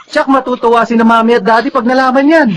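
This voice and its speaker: A man's voice: very high voice, fairly even intonation, quick pace, fairly bright tone, slight background noise. A man with a Filipino accent playing a caring, protective older brother in a radio drama. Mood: curious, pleased.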